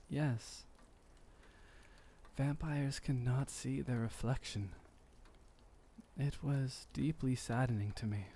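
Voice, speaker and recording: A man playing a gentle, doting, centuries-old vampire boyfriend, speaking softly and tenderly with slightly old-fashioned formality in an intimate ASMR roleplay. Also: mid-range voice. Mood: soothing, fond. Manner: soft, unhurried, tender, lightly formal old-fashioned phrasing.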